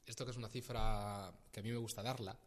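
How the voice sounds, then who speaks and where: Hesitant low-voiced Spanish manager. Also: male voice, steady tone, fast. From Castilla-La Mancha, Spain. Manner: hesitant, repeats words often, laughs easily. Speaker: company regional manager, interview guest. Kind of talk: casual radio interview.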